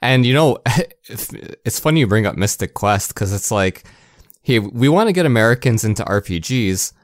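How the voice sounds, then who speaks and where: A man's voice: lowish voice, animated, moderate pace, no room sound, clean studio sound. A man co-hosting a gaming podcast in casual, informal chat with a wry way of talking. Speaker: podcast co-host. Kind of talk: casual podcast chat about video games.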